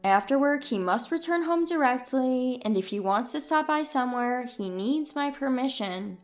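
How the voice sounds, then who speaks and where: A woman's voice: high voice, lively intonation, moderate pace, soft background music. A woman reading a scripted first-person story as its narrator.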